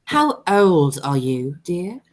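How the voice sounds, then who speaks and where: A woman playing an imperious, pompous aristocratic matron in an amateur radio play, speaking in a formal, deliberate way. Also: lowish voice, very animated, darkish tone. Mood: measured condescension. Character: haughty, imperious aristocratic matron Lady Bracknell, coolly disapproving.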